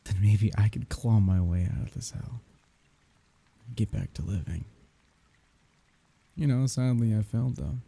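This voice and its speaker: A grieving man played by a male voice actor murmurs an intimate farewell to his dead lover. His voice is very dark and mellow, thick with breathy husk and gravel. He speaks haltingly, tender and wry, in the close style of an ASMR audio drama. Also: exaggerated voice. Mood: aching, tender. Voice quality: husky, breathy, gravelly low voice.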